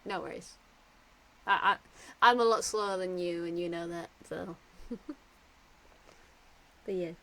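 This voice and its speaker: A woman streamer giving chatty, giggly live commentary into a desk mic on a boom arm at home. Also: fairly even intonation, unhurried, pauses often, bright, forceful tone, low-quality recording. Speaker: game streamer.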